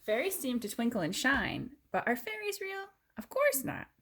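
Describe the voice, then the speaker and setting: A woman reading a children's picture book aloud in an expressive, clearly phrased way, with casual asides. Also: high voice, normal speed, slight background noise.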